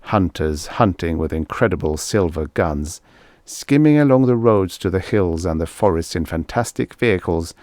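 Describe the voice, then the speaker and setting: Guy narrating an audiobook, pretty low voice, really dry close sound. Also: a little mellow, dry recording. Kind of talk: scripted story narration.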